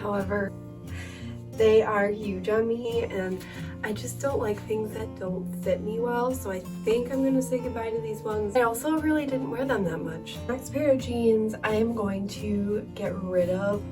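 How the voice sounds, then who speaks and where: A woman's voice: on the high side, a little slow. A woman in her twenties chatting casually as a lifestyle vlogger, her voice still a little worn from being sick, with lots of "like" fillers. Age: 20s. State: recovering voice after illness. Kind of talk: casual vlog chat. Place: bedroom at home. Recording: distant mic with guitar music behind.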